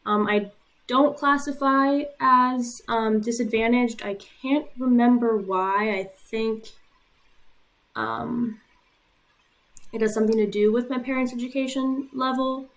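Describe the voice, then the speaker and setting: A woman's voice: on the high side, steady tone, takes it slowly, a bit bright. A woman in her twenties from Puerto Rico, a native Spanish speaker, casually walking through her own medical school application with frequent "um" fillers and a matter-of-fact manner.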